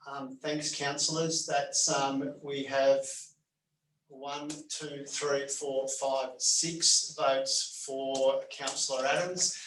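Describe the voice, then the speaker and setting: A man runs a council vote as general manager, hesitating often and counting hands aloud. His voice stays flat and monotone. He is picked up from a distance in an echoey room with faint background noise. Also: medium pitch, extremely slow. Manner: hesitant, full of ums and uhs, counting votes aloud. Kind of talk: formal meeting procedure.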